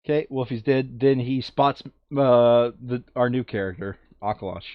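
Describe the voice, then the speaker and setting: A man's voice: mid-range voice, fairly even intonation, moderate pace, no room sound, muffled recording. A man playing a board game casually, reading out rules and thinking aloud as he narrates the moves. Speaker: board game player on a playthrough show. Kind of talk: casual tabletop game play commentary.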